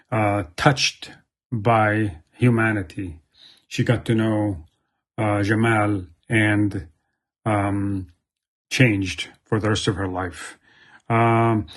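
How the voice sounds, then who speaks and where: Slow-talking, low-voiced man, halting delivery. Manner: halting, hesitant. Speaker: presenter introducing a play reading. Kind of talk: informal spoken introduction.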